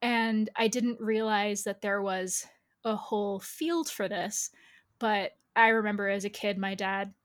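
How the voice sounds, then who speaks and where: A woman's voice: medium pitch, a little slow, a bit bright. A woman from the United States speaking as an interview guest, telling personal stories in a chatty, explanatory way. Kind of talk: casual personal storytelling.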